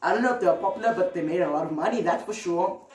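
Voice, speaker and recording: A woman's voice: fairly low pitch, racing, a little mellow. A woman hosting a video with casual, conversational commentary, rambling a little and adding hedging asides. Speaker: video host. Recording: roomy, echoey, distant mic with music.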